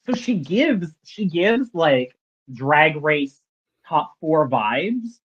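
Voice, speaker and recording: A man's voice: fairly high pitch, big pitch swings, very slow, fairly bright tone, breathy edge. Wry man on dull lo-fi audio. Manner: dry, wry, self-deprecating. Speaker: podcast host. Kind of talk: casual podcast chat.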